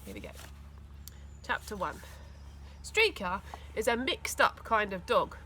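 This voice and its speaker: Woman, bright forceful voice, very animated children's narration, faint background noise. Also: mid-range voice, measured pace. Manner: animated, dramatic storytelling. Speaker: school teaching assistant reading aloud. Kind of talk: expressive children's storybook narration.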